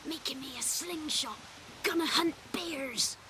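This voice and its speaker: A woman's voice: high voice, measured pace, sharp tone, a little hiss or hum. A woman voicing a playful small village child in a video game. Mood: boastful. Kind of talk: video game background chatter.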